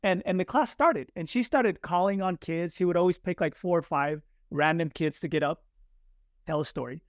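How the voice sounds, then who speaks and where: A man's voice: on the high side, moderate pace, no room sound, studio quality. A man in his forties, born in Chile with Spanish as his first language, telling a personal story as a podcast guest and acting out the voices he quotes. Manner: animated, acts out quoted voices. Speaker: guest, communication coach.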